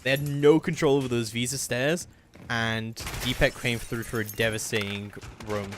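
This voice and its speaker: Male esports play-by-play caster, mid pitch, excitable, slight background noise and clicking. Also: normal speed. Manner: excitable, building to shouts at big plays. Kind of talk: live video game commentary.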